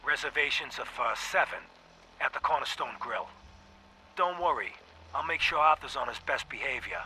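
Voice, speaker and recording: An actor playing a cold, smooth-talking male mob boss, dictating recorded messages in a video game. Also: mid-range voice, normal speed. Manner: calm, sardonic gangster drawl. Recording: noisy game audio capture.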